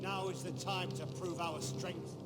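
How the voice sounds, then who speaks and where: An actor playing a proud, hot-headed knight hungry for vengeance, indignant and scornful in a fantasy game drama. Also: male voice, sits high, level tone, unhurried, over music. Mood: outraged.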